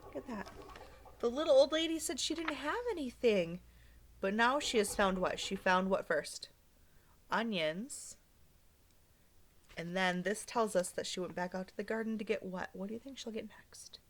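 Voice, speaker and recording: A woman teacher reading a story aloud to young children, asking them gentle, soft questions as she goes. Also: mid-range voice, moderate pace.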